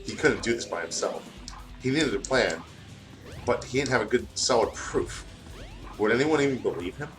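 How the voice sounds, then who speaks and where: A man talks casually with animated, swinging intonation over game music. He is self-deprecating and sweary, moving between loose chat and reading aloud like a friend on a playthrough. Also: mid-range voice, normal speed, pauses often. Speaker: guest reading fan fiction aloud.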